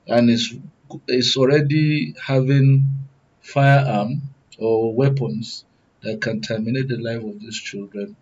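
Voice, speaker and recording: A man with a Nigerian accent giving serious panel commentary in a deliberate, emphatic, oratorical way. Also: medium pitch, fairly even intonation, darkish tone, highs cut off. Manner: deliberate, emphatic, oratorical, repeats phrases for weight. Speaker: guest commentator, good governance advocate.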